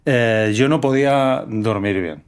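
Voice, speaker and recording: A middle-aged man talks in a reflective interview conversation, with an accent from Spain and a mid-range pitch. He feels his way through thoughts, pausing and repeating key words for emphasis. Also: smooth. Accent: Spanish from Spain. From Menorca, Spain.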